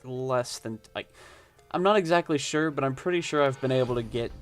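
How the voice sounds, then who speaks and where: A young man narrates his gameplay in a relaxed, unscripted way, sitting at a mid pitch. He reads on-screen text aloud and then drifts into rambling asides full of fillers. Faint game music plays underneath his voice. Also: a little fast. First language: not Japanese. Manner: rambling, hesitant, many fillers. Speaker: let's play gamer.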